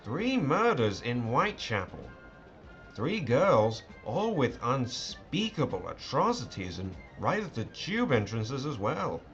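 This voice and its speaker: A man's voice: mid-range voice, big pitch swings, slow pace, fairly bright tone. A man playing a haughty, evasive English lord and minister in an audio drama, with pompous, clipped aristocratic British speech. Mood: breezy, evasive. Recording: dull, band-limited sound with music behind.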